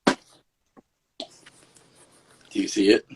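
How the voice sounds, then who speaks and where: A man in his early forties chatting casually as a co-host in a group talk about gaming. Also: very slow. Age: early 40s. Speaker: podcast co-host. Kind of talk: casual group chat about video games. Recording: noisy background with clicks.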